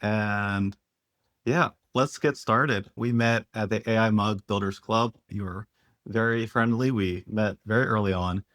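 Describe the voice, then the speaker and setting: A man's voice: fairly low pitch, unhurried, a bit bright, no echo, clean studio sound. A man hosting a podcast, asking questions in a friendly, conversational way. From Philadelphia, United States. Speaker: podcast host. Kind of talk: casual interview chat.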